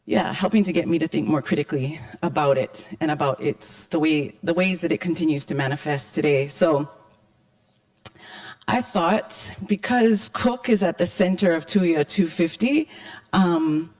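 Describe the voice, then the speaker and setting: A woman from Hawaii speaking as an invited panelist to an audience, with a croaky, hoarse voice and a conversational, wry manner. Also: sits low, moderate pace, a little mellow. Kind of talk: public talk to an audience.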